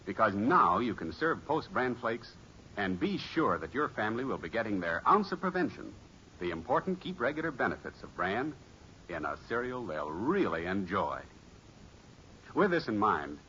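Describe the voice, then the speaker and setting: A man's voice: fairly low pitch, pushed and bright. A man announcing a 1950s radio advertisement in a polished, emphatic, persuasive way. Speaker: commercial announcer. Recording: muffled, hissy old radio recording.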